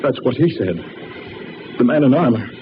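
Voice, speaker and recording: Man acting a genteel gentleman, widely swooping voice. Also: mid-range voice, lively intonation, normal speed, close to an everyday voice. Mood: haunted, fearful. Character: well-to-do gentleman, genteel, uneasy, haunted. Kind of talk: old-time radio drama acting. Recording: muffled, noisy old radio recording.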